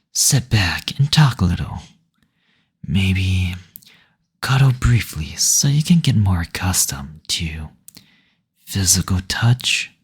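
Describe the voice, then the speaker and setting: Male demon played by a man for intimate audio roleplay: low, very breathy, unhurried, tender and soothing. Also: full character voice. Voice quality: breathy, husky. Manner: slow, soothing, tender, attentive. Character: gentle teasing male demon, brothel receptionist.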